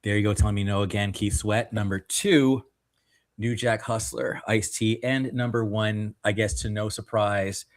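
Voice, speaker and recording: A man co-hosting a music podcast, reading out his ranking and praising songs with enthusiastic, drawn-out emphasis. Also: on the low side, steady tone. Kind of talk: casual podcast chat.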